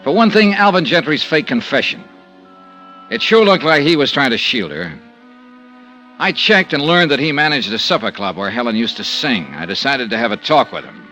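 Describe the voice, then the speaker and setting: A man's voice: medium pitch, big pitch swings, moderate pace, bright, forceful tone, poor audio. An actor playing a wry, hard-boiled male insurance investigator who narrates and questions suspects in a 1950s radio drama. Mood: dry narration. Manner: wry, clipped detective narration. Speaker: lead narrator. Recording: old radio recording with music bed.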